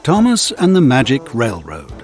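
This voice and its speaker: Expressive male children's storybook narrator. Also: mid-range voice, moderate pace, faint music behind.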